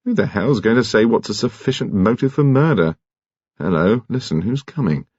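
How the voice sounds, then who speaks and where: A man's voice: on the low side, animated, normal speed, darkish tone, breathy edge, no echo, highs cut off. A man voicing an urbane, courteous senior detective with a polite, authoritative, articulate manner in an audiobook. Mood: impatient.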